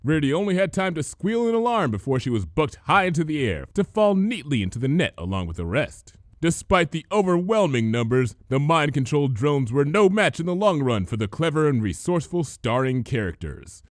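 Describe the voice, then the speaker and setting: Male narrator for story reading: fairly high pitch, hugely varied intonation, dry close recording. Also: big pitch swings, a little fast, few pauses, no echo. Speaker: fan fiction reader, narrator. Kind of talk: animated scripted story narration.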